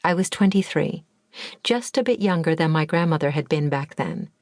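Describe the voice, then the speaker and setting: Female memoir narrator, lowish pitch, dry booth sound, calm reflective delivery. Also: no room sound. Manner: measured, reflective first-person reading. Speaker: audiobook narrator.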